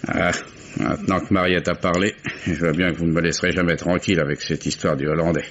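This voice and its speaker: A gruff old seaside fisherman, played by a man in a vintage radio play, guarded and grumbling as he talks. His voice sits very low and deep. The sound is dull and muffled, with a faint hiss behind it. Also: fairly even intonation, fast, a bit bright. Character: gruff old oyster fisherman Pascal, reluctant witness. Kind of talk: 1950s French radio drama acting.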